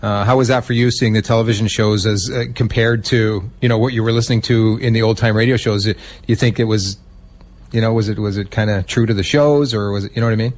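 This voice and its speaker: A man's voice: fairly low pitch, fairly even intonation, fast. A man hosting a radio show, asking questions in a casual, conversational way.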